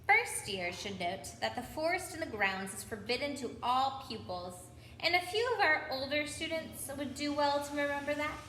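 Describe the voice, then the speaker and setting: Storybook old wizard headmaster, played by a woman: kindly, eccentric, stately, bright and high. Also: animated, normal speed, reverberant, a little hiss or hum, rough recording. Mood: stately announcing. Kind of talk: children's storybook character reading.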